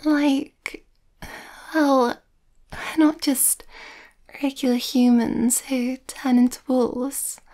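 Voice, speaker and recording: Woman acting a shy, high-voiced werewolf girlfriend. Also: steady tone, drawn-out words, pauses often, dark tone. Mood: shy, anxious. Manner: hesitant, breathy, often near whisper, nervous. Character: shy, overthinking, sweet young female werewolf girlfriend. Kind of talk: soft-spoken ASMR girlfriend roleplay.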